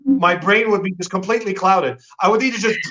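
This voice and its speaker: A man's voice: high-pitched, fairly quick, sharp tone, muffled recording. A man with an American accent, a guest in a live-stream interview, talking at length with many restarts and repeated words. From Turkey.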